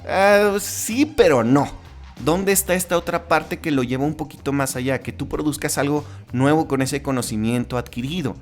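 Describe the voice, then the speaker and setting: A man's voice: a little high, normal speed, soft background music. A man with a Mexican accent hosting a solo podcast in a casual, conversational way, with frequent restarts and tag questions. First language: Spanish. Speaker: podcast host.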